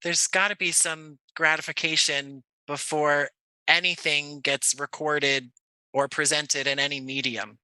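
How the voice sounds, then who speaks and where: An American man talking conversationally as an interview guest, choosing his words in an articulate, measured way. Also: fairly high pitch, level tone, bright, forceful tone, smooth, no echo, poor audio. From Jersey City, United States. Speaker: podcast guest, audio play creator.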